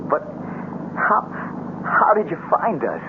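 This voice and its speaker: A man's voice: high-pitched, huge pitch swings, slow, heavily acted. An actor playing a bewildered, sympathetic man trapped in a cave, in a radio drama. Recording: noisy, narrow-band old radio broadcast.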